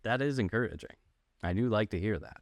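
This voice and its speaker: A man with a fairly low voice hosts a conversational podcast interview. He is recorded dry, with very little room sound around him. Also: animated, fairly quick, gravelly, no echo.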